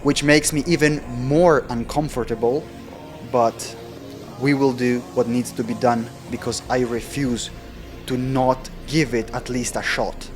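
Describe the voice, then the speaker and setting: A man's voice: medium pitch, fairly even intonation, unhurried, music in the background. A man who speaks English as a non-native language, with Italian or German as his stronger tongue, talking casually and candidly as a vlogger. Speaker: vlogger practicing sales cold calls. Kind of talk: casual vlog talk.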